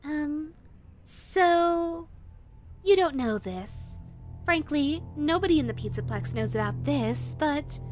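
A woman playing an obsessive, possessive yandere in a rabbit costume in an audio roleplay, cooing in a drawn-out way that swings from syrupy to threatening. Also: high-pitched. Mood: coyly menacing. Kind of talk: dramatic audio roleplay addressed to the listener.

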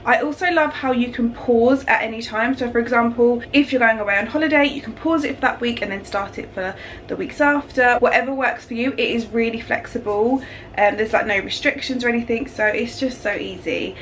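A woman's voice: a little high, brisk, fairly bright tone, slight background noise. A young woman, chatting upbeat to a phone camera at home, full of "like" and "um". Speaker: lifestyle vlogger. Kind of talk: casual vlog chat to camera. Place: bright home kitchen and bedroom, indoors. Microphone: phone held at arm's length.

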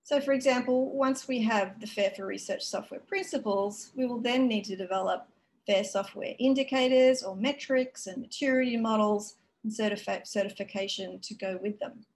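A woman giving a conference keynote over a video call, explaining in a measured way with frequent fillers. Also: fairly high pitch, darkish tone, slight background noise. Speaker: keynote speaker. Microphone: video call webcam.

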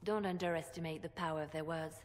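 A woman's voice: low voice, steady tone, fast talker, big-room sound, close to an everyday voice. An actress playing a cautious, wary female spymaster in a fantasy game.

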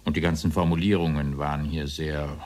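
Give this radio play a very low male voice: an evasive former officer on trial. He answers the judge deferentially, in formal and circuitous phrases. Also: little pitch movement, fairly quick, a little mellow. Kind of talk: radio play courtroom dialogue.

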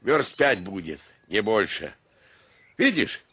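Sugary, drawling low-voiced old trouper. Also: male voice, big pitch swings, takes it slowly, sharp tone, husky, heavily acted. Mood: cajoling, tender. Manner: unctuous, drawling endearments. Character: sugary, affectionate male stage actor, the elder comrade. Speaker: audiobook performer. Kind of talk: theatrical dramatized reading of a classic short story. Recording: music behind the voice.

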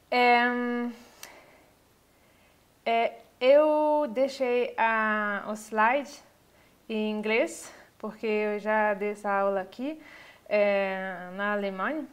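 A woman's voice: mid-range voice, clean tone. Hesitant woman lecturing. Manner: hesitant, many filler pauses. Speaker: university lecturer. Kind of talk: online lecture over slides. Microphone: webcam on a video call.

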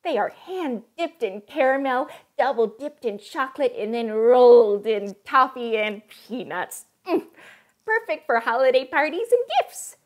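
A young woman playing a chirpy, wacky cooking-show host in a home kitchen, with a bubbly sing-song delivery and sudden hushed asides. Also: on the high side, sing-song, a little slow. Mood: perky. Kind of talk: parody cooking show, scripted comedy.

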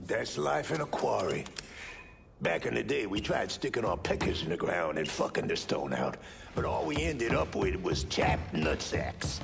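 An actor playing a foul-mouthed, boisterous aging mobster with an American accent and a gravelly, rambling, streetwise delivery in a video game. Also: male voice, fairly low pitch, big pitch swings, normal speed, exaggerated voice. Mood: wry bravado. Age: older. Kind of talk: video game character acting. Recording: game audio over loud music, engine noise.

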